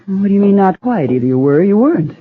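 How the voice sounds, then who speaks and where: An actress playing an exasperated, nagging wife with a pointed manner in a radio drama. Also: female voice, mid-range voice, very animated, fast talker, a little hiss or hum, lightly acted. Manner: pointed, emphatic. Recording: tinny old radio recording.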